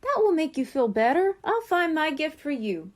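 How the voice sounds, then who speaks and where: A woman voicing a helpful, excitable young mer-kitten girl, a loyal friend in a children's storybook. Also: sits high, normal speed, pitched above the performer's own voice.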